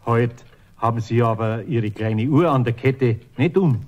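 A middle-aged Bavarian master craftsman acted by a man in a children's radio play. His voice sits at a middle pitch, with a homely, hesitant, fretting delivery. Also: a little slow, dull audio, faint music behind, natural voice. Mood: anxious, polite.

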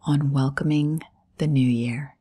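A woman with a breathy, whispery voice leading a guided meditation in a soothing, unhurried way, in short phrases with long pauses. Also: extremely low pitch, fairly even intonation, dark tone.

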